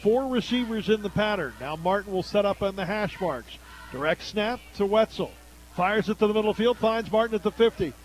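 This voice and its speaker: A man with an American accent and a noticeably high-pitched voice calls a football game for radio. He works as the play-by-play announcer, following the action live from the game. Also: a little fast, rough recording.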